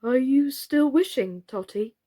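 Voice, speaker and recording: An anxious father doll from a children's storybook, voiced by a woman reader. He is earnest and fretful, with a slow, swooping delivery. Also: high-pitched, expressive, dark tone, voice pushed up.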